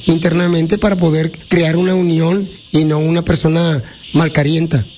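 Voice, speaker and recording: A man with a Mexican Spanish accent hosting a radio prank call, talking in a deadpan, mock-official way. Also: on the high side, normal speed, soft background music. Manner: deadpan, feigning formal officialese. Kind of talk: prank call and studio banter.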